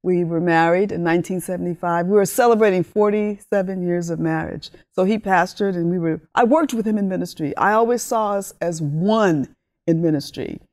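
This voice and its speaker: A woman in her sixties from Honduras, with Spanish as her first language, telling her life story as an interview guest with animated enthusiasm. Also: on the low side, brisk. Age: 60s. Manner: warm, animated storytelling with laughs. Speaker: guest, ministry leader. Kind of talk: interview talk.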